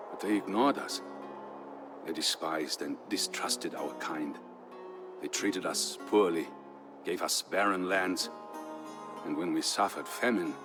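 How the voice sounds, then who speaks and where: A man narrating as a grave Visigoth storyteller, recounting war history in a solemn, measured, theatrical way for a historical game. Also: low voice. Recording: music behind the voice. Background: music.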